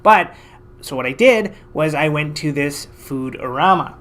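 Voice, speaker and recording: A man's voice: on the high side, expressive, measured pace, a bit bright. Chatty man telling a story. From Canada. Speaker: financial advisor and vlogger. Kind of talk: casual storytelling to camera.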